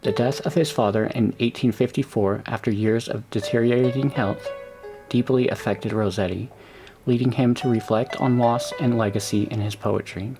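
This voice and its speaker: A man narrating a scripted documentary in a measured, even delivery, with music behind the voice. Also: medium pitch, poor audio.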